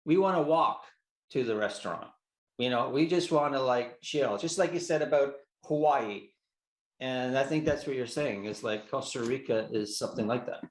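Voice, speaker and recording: A man hosting a casual interview, asking questions in a halting way with restarts and "like" fillers. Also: mid-range voice. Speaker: interview host. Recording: roomy echo.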